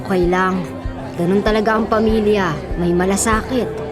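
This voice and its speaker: An actress playing a kindly, practical storekeeper wife in a Filipino radio drama. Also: female voice, medium pitch, fairly even intonation, moderate pace, crowd noise, natural voice. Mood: accepting. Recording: music and public-space bustle behind.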